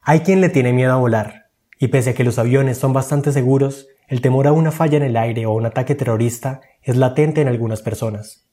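A man's voice: mid-range voice, brisk, mellow. A man narrating a scripted documentary as its host, in a dramatic, literary storytelling manner.

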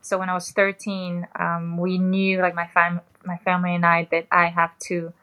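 A young woman from Belgium, a professional golfer being interviewed, answering in a relaxed, chatty way with many fillers. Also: on the low side, moderate pace.

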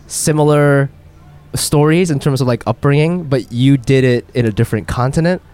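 A man's voice: mid-range voice, moderate pace, a little mellow, smooth. A young man co-hosting a podcast, asking curious questions in a hesitant, casual way. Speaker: podcast co-host. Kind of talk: casual chat.

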